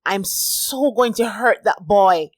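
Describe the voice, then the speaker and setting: A woman's voice: medium pitch, expressive, slow, dry recording. A woman voicing a protective, motherly woman friend who is indignant and emphatic. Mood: furious.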